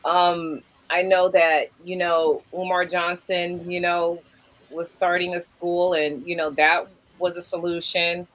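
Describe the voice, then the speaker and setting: A woman's voice: lowish voice, little pitch movement, takes it slowly, rough recording. A woman with a Brooklyn accent co-hosting a casual livestream chat, rambling and filling nearly every phrase with "you know". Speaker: livestream co-host.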